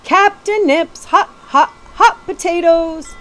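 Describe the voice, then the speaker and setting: A woman's voice: very high-pitched, slow, voice pushed up. A woman voicing a genial man who sells hot potatoes in a storybook, with hearty hawker's delivery. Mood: hearty hawking. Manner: hearty calls to passers-by.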